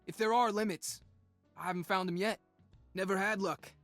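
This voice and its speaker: A man's voice: high voice, fairly even intonation, normal speed, a bit bright, no echo, soft background music. A man playing a cocky, determined young male inventor and street fighter in punchy, brash video game lines. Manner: punchy, brash one-liners.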